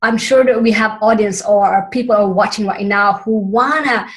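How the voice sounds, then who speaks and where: A woman's voice: mid-range voice, normal speed. A woman from the Philippines, English not her first language, hosting an interview and often restarting her sentences to find the right word. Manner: searching for words, frequent restarts. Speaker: interview host. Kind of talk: online summit interview.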